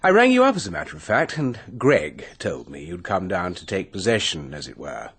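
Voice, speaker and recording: An actor playing a breezy, smug English cousin in a British radio mystery, with an English accent and a flippant, self-assured manner. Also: male voice, sits low, very animated, brisk, a bit bright, husky, heavily acted. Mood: breezy, sly.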